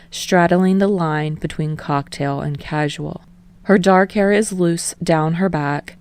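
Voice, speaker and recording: A woman reading a story aloud in an expressive, scripted narration style. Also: low voice. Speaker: audiobook reader of fan fiction.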